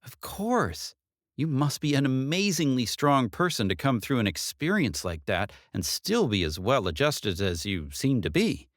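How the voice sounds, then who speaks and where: A man voicing a concerned female police detective in an audiobook, with a measured, official, earnest manner. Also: mid-range voice, big pitch swings, a bit bright, no room sound, studio quality, voice pushed up. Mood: sympathetic.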